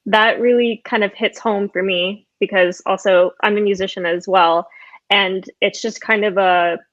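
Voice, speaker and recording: A woman's voice: mid-range voice, fairly even intonation, a little slow, fairly bright tone, no echo, highs cut off. A woman hosting a conversational podcast interview, speaking in a casual, enthusiastic way. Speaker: podcast host.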